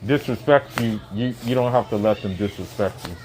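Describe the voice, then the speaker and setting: A man hosting a casual conversation, talking in a relaxed, hesitant way with many fillers and restarts. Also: lowish voice, moderate pace, rough recording.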